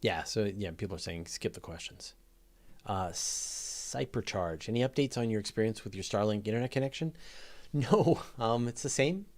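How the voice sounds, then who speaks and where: A man hosting a casual live space Q&A, talking off the cuff in an earnest, rambling way that halts and then rushes on. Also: lowish voice, expressive, a little mellow. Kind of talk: casual unscripted livestream chat.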